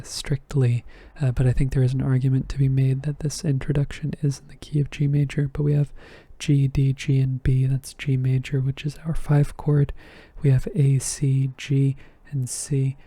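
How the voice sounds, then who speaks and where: A man with a strained, slightly hoarse voice explaining harmony on a score at his desk, thinking aloud and correcting himself. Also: medium pitch, mellow, dry recording. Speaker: music theory teacher. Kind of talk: casual music theory lesson. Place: indoors at a desk.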